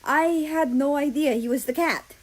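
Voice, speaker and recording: A woman reads as a gruff, protective man in a romance story. The lines are terse and earnest, pitched a little above mid-range. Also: moderate pace, lightly acted. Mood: angry, defensive.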